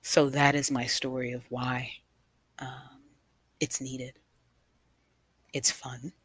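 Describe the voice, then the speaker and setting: A woman's voice: a bass voice, level tone, slow, breathy edge, no echo. A woman telling her personal story to an audience as a guest storyteller, reflective and emphatic in her delivery. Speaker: guest storyteller, nonprofit founder.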